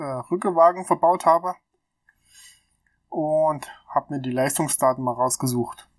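A man's voice: a little high, no room sound. Stuffy-nosed man explaining calculations. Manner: chatty, thinks aloud with fillers. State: stuffy from a cold. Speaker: channel host. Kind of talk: casual technical walkthrough. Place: small room indoors. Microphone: laptop webcam mic.

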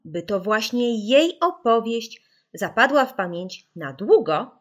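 A woman reading a children's audiobook as an expressive storyteller, putting dramatic emphasis on key words. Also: a little high, slow.

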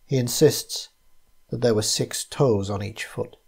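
A man reading his own first-person story as scripted narration, in a measured, literary way. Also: medium pitch, creaky, husky.

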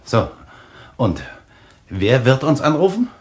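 A man's voice: medium pitch, sing-song, slow pace. An actor playing a commanding, distrustful man who interrogates others, in a German radio thriller. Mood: stern, tense. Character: suspicious, commanding man holding a stranger at gunpoint.

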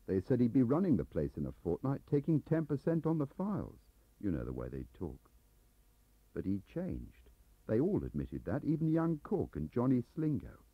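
A lonely middle-aged English clerk as a male reader plays him confides and complains in a rambling, defensive way. The voice is husky and animated, on a muffled audiobook cassette. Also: medium pitch, normal speed, no room sound, voice pushed up. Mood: confiding. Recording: dull lo-fi cassette transfer.

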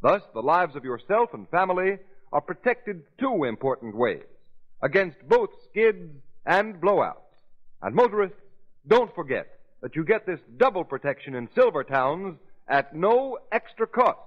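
A man announcing on 1930s radio, giving an emphatic, formal advertising pitch. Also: very animated, normal speed. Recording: dull 1930s radio recording.

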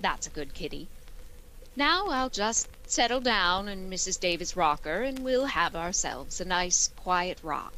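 Radio comedy schoolteacher woman actor, quick-witted and sardonic, lively intonation over a noisy background. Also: fairly low pitch, full character voice. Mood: dry, musing. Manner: dry, sardonic. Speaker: lead actor in a radio play recreation. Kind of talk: old-time radio comedy acting. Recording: clear background noise.

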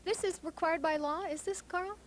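A woman's voice: very high voice, moderate pace. A woman from Texas, a television reporter doing a chatty, informal live interview on location. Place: indoors at a cable TV studio and edit room. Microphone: handheld stick microphone. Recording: noisy live TV location sound.

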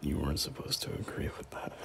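A young man playing a teasing, bashful male friend in an intimate, casual romance audio roleplay, soft-spoken and halting. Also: natural voice. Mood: playful teasing. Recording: noticeable background noise.

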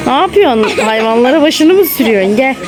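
Woman, high lively voice, playful coaxing mom vlogging in a shop with music playing. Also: brisk. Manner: playful, coaxing, asking many questions. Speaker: mother shopping with her young daughter. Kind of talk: casual shopping vlog chat. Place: inside a busy clothing shop. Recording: store music behind the voice. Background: loud store music.

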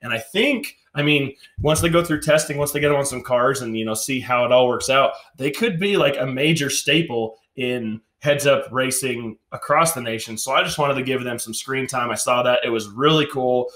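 A man's voice: mid-range voice, moderate pace, hardly any pauses, a bit bright, echoey room. A man in his thirties hosting a casual car show with an upbeat, enthusiastic manner, wearing a headset in a garage studio. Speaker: show host. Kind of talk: casual podcast chat.

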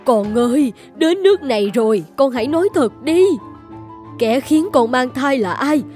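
Fretful high-voiced cartoon mother. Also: animated, normal speed, a little mellow. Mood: tearful, imploring. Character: fretful middle-aged mother. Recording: music bed under the voice.

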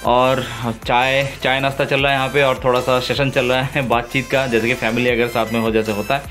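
A man narrating his own travel vlog in a relaxed, enthusiastic way, pausing often with fillers, filmed on a phone outdoors on a river boat. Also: medium pitch, soft background music. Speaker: travel vlogger.

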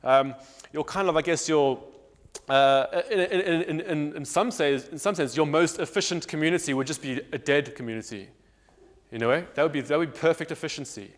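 A man's voice: a little high, a little fast. A man in his thirties with a South African accent, giving a public lecture, thinking aloud with many restarts and fillers. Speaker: guest speaker. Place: large hall with marble wall. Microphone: lapel. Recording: long hall reverb.

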